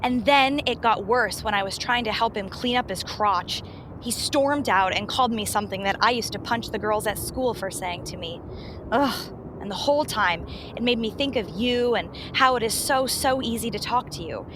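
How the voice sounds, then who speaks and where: A woman's voice: a little high, normal speed, natural voice. Lady doing a dramatic exasperated novelist in her 30s, radio play, noisy recording. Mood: exasperated, embarrassed. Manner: exasperated, emphatic, self-deprecating. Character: flustered, dramatic female romance novelist in her thirties.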